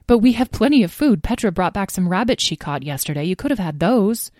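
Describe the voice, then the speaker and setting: A woman voicing a caring mother dragon in a children's story, worried and motherly, gentle but firm. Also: medium pitch, expressive, brisk, dry recording, studio quality, pitched above the performer's own voice, lightly acted.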